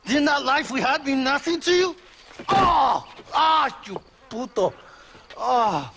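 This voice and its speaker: A slippery Mexican-accented outlaw from a Western game, wheedling and spiteful. His voice rides high and swings widely, over a noisy background. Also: sing-song, slow, fairly bright tone, exaggerated voice. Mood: bitter, spiteful. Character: cornered treacherous male outlaw, pleading then spiteful. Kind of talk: Western video game acting.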